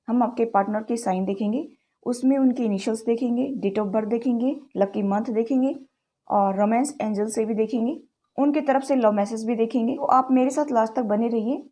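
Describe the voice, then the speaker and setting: Soft-voiced woman tarot reader, sore throat. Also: a little high. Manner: chatty, keeps addressing viewers as "guys"; mixes English words into Hindi. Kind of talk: direct-to-camera tarot reading.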